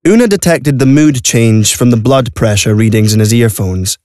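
A man's voice: mid-range voice, normal speed, dark tone, no echo. Man narrating, dry studio sound. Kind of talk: scripted story narration. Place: recording studio.

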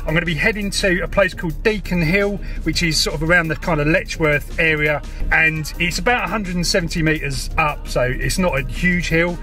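A man's voice: fairly high pitch, brisk, sharp tone, soft background music. A middle-aged man with an English accent giving casual handheld vlog commentary, informally thinking aloud. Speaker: vlogger. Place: parked car and open grassy hilltop outdoors. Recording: clear ambient noise on location.

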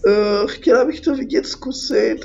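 A woman's voice: on the high side, vocal fry, warm tone. A young Czech woman giving casual, sarcastic let's play commentary, informal and sweary. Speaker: gaming YouTuber. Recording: dull lo-fi mic with faint noise.

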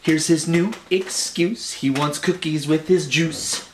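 A man's voice: on the high side, slow pace. Man reading bedtime stories in an echoey room. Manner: playful, conversational, theatrical. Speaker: storyteller reading picture books aloud. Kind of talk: bedtime story reading with casual asides. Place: small furnished room at home, indoors. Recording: roomy home recording with clear background hiss.

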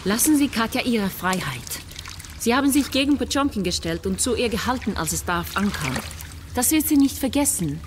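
A woman's voice: on the high side, lively intonation, fairly quick, natural voice. An actress playing a composed, matter-of-fact woman with a dry edge in a crime audio drama. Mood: advising. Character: composed, dryly sharp woman giving advice. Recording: clear watery background noise of pool. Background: water at a swimming pool.

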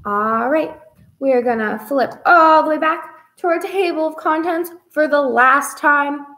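A young woman presents a children's story time in an expressive, sing-song way, as a librarian talking to kids. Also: sits high, unhurried. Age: young adult. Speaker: children's librarian hosting a read-aloud. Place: small indoor room with whiteboard.

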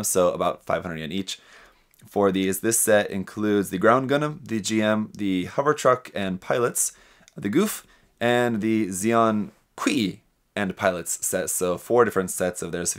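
A young man hosting a hobby news show, running through items casually with his own commentary. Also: on the low side. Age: young adult. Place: small indoor hobby workshop room. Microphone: desk microphone with pop filter, close.

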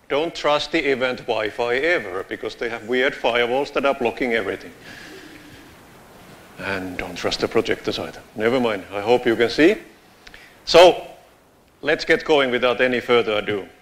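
Finnish man giving a casual tech talk. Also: medium pitch. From Finland. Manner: casual, joking, lively gestures while walking the stage. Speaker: conference speaker. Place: large lecture theatre.

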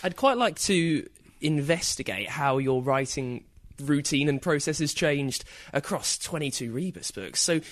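A man's voice: on the high side, lively intonation, a little slow, a bit bright, dry recording. A man asking conversational questions as a podcast interviewer.

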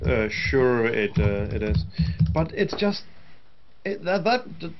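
A man's voice: mid-range voice, big pitch swings, slow pace, very noisy. A young man co-hosting a casual gaming chat from home over a headset mic, hesitant, with many fillers. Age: young adult. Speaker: co-host. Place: home room. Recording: dull lo-fi webcam call audio.

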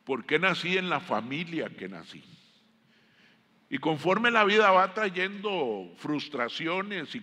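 A middle-aged Costa Rican man preaching a sermon, deliberate and emphatic, pausing often between phrases. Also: a little high, bright, forceful tone. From Costa Rica. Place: large indoor church auditorium stage. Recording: long hall reverb from stage sound system.

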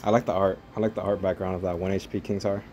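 A man's voice: low-pitched, flat delivery, rapid-fire, a little mellow, a little hiss or hum. A young man rambling through casual, profane play-by-play of a mobile strategy game as a gaming channel host. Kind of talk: casual gameplay commentary.

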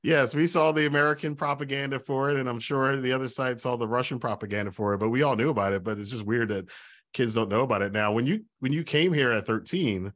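A man's voice: mid-range voice, fast talker. Middle-aged man, host, rambling casual talk, close studio mic. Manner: rambling, restarts, animated with gestures. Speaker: podcast host. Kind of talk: casual interview. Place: small home studio.

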